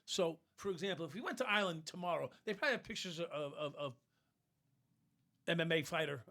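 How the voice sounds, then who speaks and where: A man hosting a podcast who steers the chat with quick interjections and questions. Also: fairly high pitch, expressive, bright, forceful tone. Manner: interjecting, affirming, leading questions. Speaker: podcast host. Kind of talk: casual conversation.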